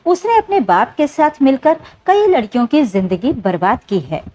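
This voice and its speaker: A wronged older mother played by a woman narrator confesses in a story with sorrow and remorse. The voice is high and moves expressively up and down. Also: big pitch swings, moderate pace, darkish tone, dull audio, a little hiss or hum. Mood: pained.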